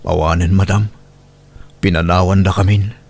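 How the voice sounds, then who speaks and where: A man's voice: deep voice, fairly even intonation, normal speed, breathy edge. A man with an Ilocano Filipino accent playing a humble, distressed young father left alone with a baby, in audio drama style, hesitant and pleading. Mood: humble, troubled. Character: distressed young single father, humble, abandoned by his partner.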